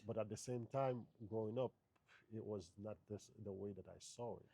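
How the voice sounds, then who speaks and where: A man's voice: on the low side, moderate pace, vocal fry, warm tone. A man from Haiti, in his thirties, answering interview questions in a thoughtful, hesitant way with many fillers and restarts.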